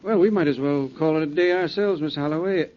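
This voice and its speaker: An actor playing an affable, easygoing office boss in a 1940s radio drama. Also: male voice, on the high side, fast, lightly acted. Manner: affable, chatty. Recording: muffled 1940s radio recording.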